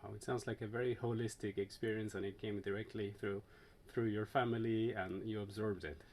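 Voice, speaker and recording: A man hosting an interview, asking thoughtful, measured questions with small hesitations. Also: lowish voice, little pitch movement, darkish tone, slight background noise. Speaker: conductor, interview host.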